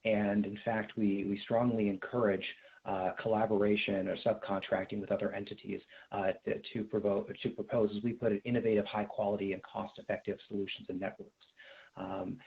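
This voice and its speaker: Low-voiced American man, thin phone-like audio. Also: vocal fry. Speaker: contracts manager hosting a conference call. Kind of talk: formal question-and-answer on a recorded bid call. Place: indoors on a video call.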